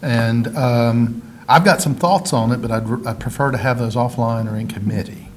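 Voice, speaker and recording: A man on a utility board summing up a discussion, measured and halting. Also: mid-range voice, a little hiss or hum.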